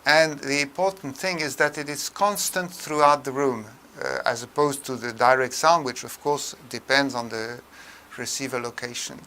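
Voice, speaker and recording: An older man delivers a technical tutorial. His voice is bright and crisp-edged at a middling pitch. He speaks in a measured, halting way, often pausing between phrases. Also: a little hiss or hum. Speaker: lecturer. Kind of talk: tutorial lecture on room acoustics.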